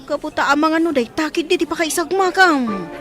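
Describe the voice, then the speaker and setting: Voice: high-pitched, expressive, normal speed, over music. A performer playing a schemer's doubtful, questioning friend in an Ilocano radio comedy drama.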